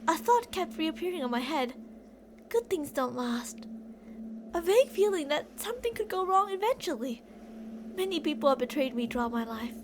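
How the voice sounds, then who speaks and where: A woman's voice: high voice, sing-song, moderate pace, background noise. A woman playing an obsessive, delusional young captor in an intimate, rambling ASMR roleplay monologue addressed to a captive. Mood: wounded, bitter.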